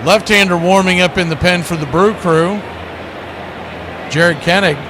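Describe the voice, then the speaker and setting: A man doing live baseball play-by-play as the announcer, conversational, with drawn-out calls and quick asides. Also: high-pitched, background noise.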